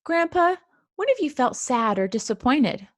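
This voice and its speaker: An earnest little mouse girl from a children's storybook, voiced by a woman. She speaks in a raised pitch and puts things simply, with sincere care for those around her. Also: sing-song, moderate pace, no room sound, studio quality. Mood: eager, curious. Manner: sincere, explaining simply to family. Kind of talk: children's storybook read-aloud.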